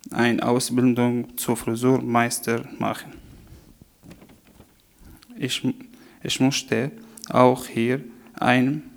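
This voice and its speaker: A young man, not a native German speaker, slowly and carefully reads aloud his own short text about his new life. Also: mid-range voice, creaky. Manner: slow, careful reading with halting restarts. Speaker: course participant reading his story.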